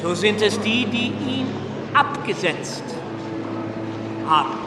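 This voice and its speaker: An actor playing a solemn, unyielding revolutionary leader in a radio drama, with measured, halting, emphatic oratory. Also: male voice, high-pitched, animated. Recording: echoing distant hall, loud crowd noise.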